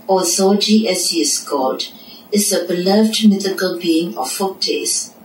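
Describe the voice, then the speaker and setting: A woman presenting a puppet show, reading scripted narration that introduces the dances. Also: mid-range voice. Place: indoor puppet theatre, heard from the audience. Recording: distant, echoey room with noise.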